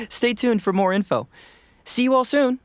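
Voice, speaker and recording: A man voicing a breezy, self-assured young detective in a video game, with a playful, knowing and teasing manner. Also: very high voice. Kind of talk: video game cutscene dialogue. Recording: music under the voice.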